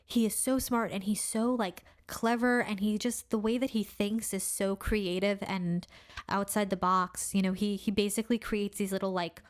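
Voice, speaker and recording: A woman's voice: medium pitch, steady tone, normal speed. A woman co-hosting a podcast in casual chat, talking eagerly with lots of filler words. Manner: enthusiastic, heavy use of "like" and "really cool". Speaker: podcast co-host.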